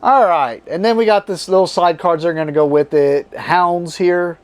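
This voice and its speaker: A man hosting a casual card game unboxing, his voice strained and tiring as he reads card names aloud in short halting bursts. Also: high-pitched. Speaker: board game channel host.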